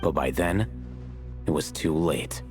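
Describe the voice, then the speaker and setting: An aloof, learned game character with a notably deep voice. He lectures in a composed, measured manner and never seems hurried. Clear music plays behind his matter-of-fact speech. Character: composed, learned male scholar, aloof and unhurried. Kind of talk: video game character dialogue.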